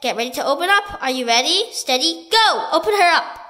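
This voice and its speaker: A woman's voice: high voice, lively intonation, fairly quick, bright, forceful tone, big-room sound. A woman voicing a hot-tempered, pompous old male duke who bellows his lines. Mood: commanding.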